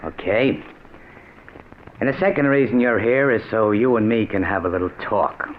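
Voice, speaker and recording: An actor playing a cold-blooded American criminal in an old radio crime drama, talking in tough gangster slang. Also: male voice, on the low side, normal speed, lightly acted. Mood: coolly threatening. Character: menacing male criminal, cold-blooded blackmailing thug. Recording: tinny, noisy vintage radio recording.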